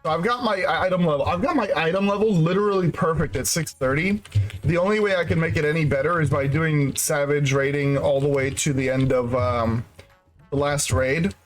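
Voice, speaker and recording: A man's voice: on the high side, a little fast, soft background music, rough recording. A congested man chatting casually on his game stream, rambling, laughing and swearing freely. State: congested nose and throat. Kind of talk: casual gaming stream chat.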